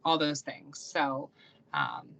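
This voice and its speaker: A woman's voice: low-pitched, huge pitch swings, slow, gravelly, fairly bright tone. A young American woman, a guest on an interview stream, chatting casually and rambling with many ums and likes. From United States. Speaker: guest, practicing witch and YouTuber.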